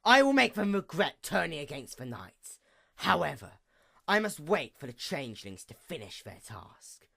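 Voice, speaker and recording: Woman doing an evil pony night goddess, deep female voice, creaky, grand dramatic villain speech, swings up and down a lot. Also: a bit bright, full character voice. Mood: vengeful menace, then brooding impatience. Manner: theatrical, menacing, grandiose, with slow drawn-out emphasis. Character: vengeful, wounded pony goddess of night, proud usurper sister. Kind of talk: dramatic villain monologue, fan fiction reading.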